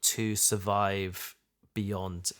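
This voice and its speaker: A man chatting casually and thoughtfully, feeling his way through ideas in halting phrases. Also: low-pitched, flat delivery, fairly bright tone. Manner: halting, thoughtful, repeats himself. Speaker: podcast host, audio drama voice actor. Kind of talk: casual chat, discussion.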